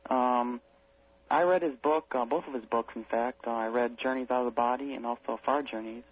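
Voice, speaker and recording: Man, mid-range voice, radio caller, halting careful question-asking, muffled phone line. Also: medium pitch, fairly even intonation. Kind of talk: asking a question on a call-in show. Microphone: telephone.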